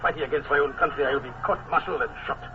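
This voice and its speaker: An actor playing a solemn colonel defending a besieged fort, speaking formally in a 1940s radio drama. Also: male voice, medium pitch, racing, natural voice. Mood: grim. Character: grave colonel besieged in a fortress, middle-aged man. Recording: muffled, hissy old radio transcription.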